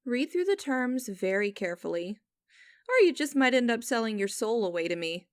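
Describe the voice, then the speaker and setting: A woman playing a cold, condescending female boss in an ASMR audio roleplay, speaking to the listener in a deliberate, commanding, mocking way. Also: a little high, very animated, smooth, dry recording, studio quality. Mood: cold, controlled. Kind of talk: ASMR roleplay acting, direct address to listener.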